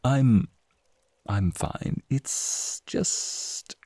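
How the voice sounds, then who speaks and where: A man playing a suave, courtly naga prince in an ASMR fantasy roleplay, speaking softly and breathily straight to the listener. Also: mid-range voice, dry recording, clean studio sound. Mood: wistful, grieving. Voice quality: soft, breathy, husky. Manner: teasing, courtly, drawn-out phrasing. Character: flirty serpentine naga prince, suave, protective, wistful beneath.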